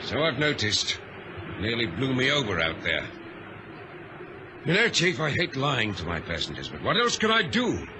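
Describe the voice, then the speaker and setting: An actor in a vintage radio drama playing an authoritative ship's captain who gives clipped, formal orders. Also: male voice, medium pitch, wildly swooping pitch, normal speed, long pauses. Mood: concerned, confiding. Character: commanding male captain of a troubled passenger ship, privately uneasy. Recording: old radio drama recording, faint noise.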